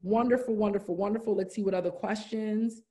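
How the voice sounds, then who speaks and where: A woman's voice: mid-range voice, fairly even intonation, brisk. A woman in her thirties, raised in the United States, leading an online diversity training and answering chat questions in an earnest, encouraging way. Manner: earnest, warm, repeats "wonderful" and "right?". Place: indoors, room with brick wall. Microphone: laptop webcam, video call.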